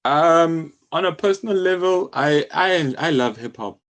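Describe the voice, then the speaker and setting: A man's voice: on the high side, lively intonation, unhurried. A South African man talking casually as an interview guest, explaining things and often checking in with the listener. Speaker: music publishing company co-founder, interview guest.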